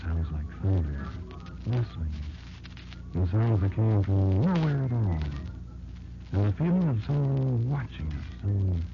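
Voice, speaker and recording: A man playing a wary old insect elder in an old-time radio drama, telling a child grim survival tales in a confiding, folksy way with deliberate pauses. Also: fairly low pitch, expressive, gravelly. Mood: reminiscing, uneasy. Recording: dull lo-fi old radio recording. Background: prominent music.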